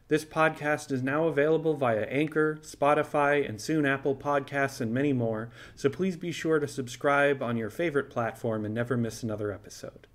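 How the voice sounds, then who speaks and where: A man reading as narrator for a scripted fiction podcast. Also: mid-range voice.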